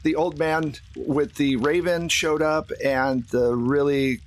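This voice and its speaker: A man's voice: fairly high pitch, fairly even intonation, slow pace. A man running a tabletop game as game master, talking casually with a joking, teasing manner.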